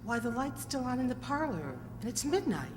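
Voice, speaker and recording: A woman's voice: a little high, sing-song, fairly quick, noisy background. A woman playing a fretful housemaid in old-time radio drama, on an echoey old recording. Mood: fretful, scolding. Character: frightened housemaid discovering her mistress murdered at night. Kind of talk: 1940s radio drama acting.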